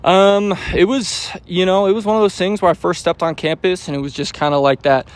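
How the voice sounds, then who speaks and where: A man's voice: high voice, a little fast. Young man rambling through fillers. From United States. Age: 20s. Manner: relaxed, rambling with fillers and restarts. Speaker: baseball pitcher. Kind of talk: pregame interview.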